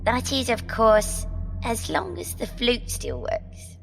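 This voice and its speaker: A woman acting a composed, determined, centuries-old guide in an audio drama, deliberate and at times whispery. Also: fairly high pitch, sing-song, gravelly, a bit bright, full character voice. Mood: urgent realization. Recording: long cavernous reverb, music bed.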